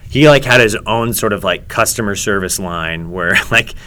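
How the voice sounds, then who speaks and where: A comedy host telling stories in a casual chat, rambling and halting with many ums and restarts. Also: male voice, lowish voice, pushed and bright.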